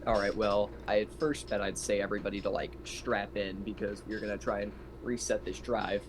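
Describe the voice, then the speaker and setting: A man's voice: lowish voice, steady tone, brisk, background noise. A man chatting casually as a player in a tabletop roleplaying game, describing what his character does.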